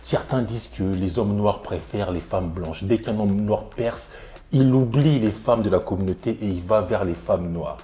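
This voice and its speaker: A man's voice: lowish voice, moderate pace, dark tone, slight background noise. A man hosting an interview show in French, speaking informally and conversationally and often interjecting.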